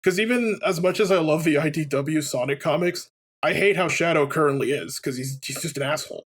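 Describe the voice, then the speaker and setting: Male let's play commentator, higher pitch, loose conversational delivery, rambling opinions with swearing. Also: brisk, husky. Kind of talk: casual opinionated game commentary chat.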